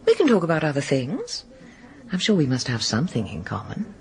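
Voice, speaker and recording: A woman's voice: low voice, wildly swooping pitch, brisk, a little mellow, full character voice. An actress playing a guarded but candid single woman in a radio drama, confiding hesitantly. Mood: pleading, regretful. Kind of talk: naturalistic British radio drama acting.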